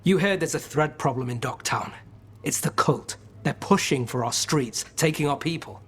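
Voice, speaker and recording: An actor playing a wry, scheming criminal informant in a video game, bargaining in an informal, sly way. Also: male voice, fairly high pitch, moderate pace, a little hiss or hum, lightly acted. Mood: sly, conspiratorial.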